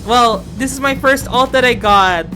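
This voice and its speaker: A high-voiced woman hosts a playthrough with music clearly playing behind her. She moves between reading narration aloud and effusive, exclamatory commentary on the game. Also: normal speed, bright, forceful tone, low-quality recording. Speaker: game streamer reading a visual novel aloud. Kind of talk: excitable let's-play commentary and story reading.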